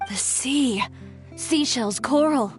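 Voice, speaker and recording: A woman's voice: high voice, takes it slowly. A woman playing a poised, determined young female fashion designer in a video game, composed, wry and assured. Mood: playful, assured. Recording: music playing behind the voice. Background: music.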